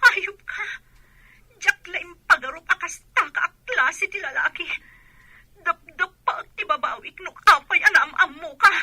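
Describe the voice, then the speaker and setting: A woman's voice: very high voice, lively intonation, unhurried, bright, forceful tone, no echo, exaggerated voice. A woman playing a distraught, defiant young pregnant woman in a radio drama, emotional and reproachful. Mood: angry, hurt.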